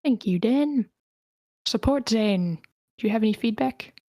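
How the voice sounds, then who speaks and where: A woman's voice: on the high side, animated, measured pace, mellow, dry recording, studio quality. A woman hosting a group session, giving brief moderating prompts to hand over the floor. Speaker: host moderating feedback.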